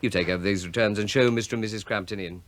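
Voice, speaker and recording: An actor playing a flustered, pompous British deputy bank manager in a radio play, fussy and obsequious. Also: male voice, fairly low pitch, steady tone, very fast, lightly acted. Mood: harried, grumbling. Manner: fussy, obsequious to rich customers.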